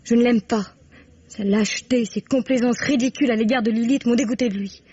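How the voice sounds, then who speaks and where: A woman's voice: on the high side, fairly even intonation, brisk, warm tone, breathy edge, dry recording. An actress playing a proud, outspoken young woman suspect in a radio mystery, frank, scornful and emphatic. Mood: scornful, bitter. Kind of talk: French radio mystery drama acting. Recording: dull, dry, band-limited radio drama recording.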